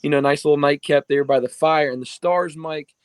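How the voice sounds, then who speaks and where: A man's voice: a little high, fairly even intonation, fairly quick, clean tone, no room sound, studio quality. An American man, a guest on a podcast, telling stories in a casual way and leaning on fillers like "I mean" and "you know". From United States.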